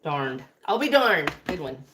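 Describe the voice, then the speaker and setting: A talkative woman in her forties with a West Texas accent and an affectionate, easygoing way of speaking. She calls people sweetie and baby while serving breakfast, in a home kitchen with a soft hiss behind her. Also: sits low, very animated, measured pace. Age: 40s. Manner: chatty, affectionate, reads out viewer names between serving food. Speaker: livestream co-host. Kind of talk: casual kitchen chat on a livestream.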